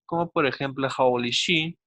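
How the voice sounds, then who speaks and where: A man gives an instructional English lesson. His voice sits at a medium pitch in a dry recording with little room sound. He carefully stretches out the English examples and regularly tags his explanations with short checking questions. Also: sharp tone, no echo. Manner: didactic, slowly enunciates English examples, often seeks agreement with tag questions. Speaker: English teacher. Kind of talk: instructional online class for children.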